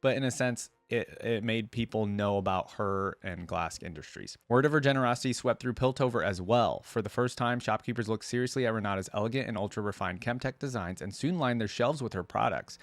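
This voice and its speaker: Male reaction host, lowish voice, dry close sound, reads story text and adds casual, musing commentary. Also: dry recording. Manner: conversational, musing aloud. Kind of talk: reading lore aloud with casual commentary.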